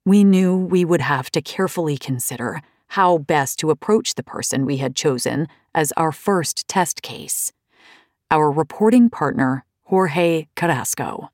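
A woman reading memoir narration in a measured, deliberate way. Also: low-pitched, lively intonation, no room sound, clean studio sound.

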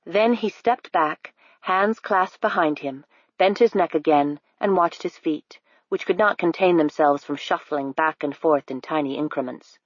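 A woman narrating an audiobook in an even, unhurried storytelling manner. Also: sits low, no room sound, highs cut off. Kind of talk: scripted narration.

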